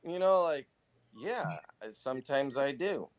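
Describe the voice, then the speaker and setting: A man chatting casually as a guest on a live talk show, laid-back and halting, with lots of fillers. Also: mid-range voice, expressive. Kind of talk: casual chat.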